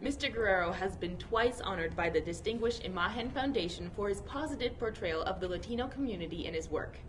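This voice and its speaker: A woman's voice: mid-range voice, expressive, brisk, a little hiss or hum, poor audio. A woman co-hosting an interview show, reading a scripted introduction with enthusiasm before asking her questions. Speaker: co-host. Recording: voice over loud music.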